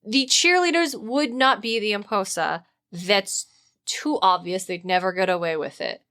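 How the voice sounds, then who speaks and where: A woman's voice: medium pitch, a little slow, pushed and bright. A woman co-hosting a podcast in casual, slangy, emphatic conversation. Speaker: podcast co-host.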